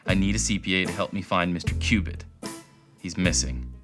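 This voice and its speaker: A man playing a terse, hardboiled private detective in a noir parody, with clipped deadpan delivery. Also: sits low, fairly quick, over music, close to an everyday voice.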